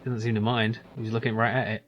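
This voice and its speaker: A man's voice: fairly low pitch, flat delivery, fast talker, highs cut off. A man giving casual, amused, self-deprecating commentary while playing a game. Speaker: let's play commentator.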